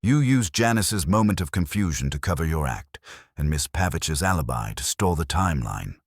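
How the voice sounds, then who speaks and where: A man's voice: extremely low pitch, big pitch swings, normal speed, husky, dry recording, studio quality, full character voice. A man voicing a commanding, coldly logical detective in an audiobook, formal and incisive as he confronts suspects. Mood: accusing, controlled. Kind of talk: audiobook detective story dialogue.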